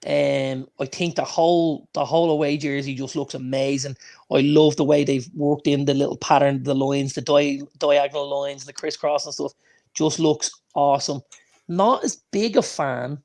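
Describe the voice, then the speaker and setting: Higher-voiced man gushing about football kits. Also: steady tone, normal speed, a little mellow. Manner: enthusiastic, emphatic, repeats phrases for stress. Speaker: podcast co-host. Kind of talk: casual chat.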